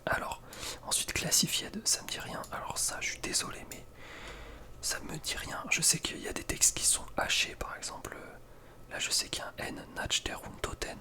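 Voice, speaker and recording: A young man speaks in a soft breathy whisper, giving casual, slangy ASMR gaming commentary with a hesitant delivery. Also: normal speed. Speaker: ASMR video creator.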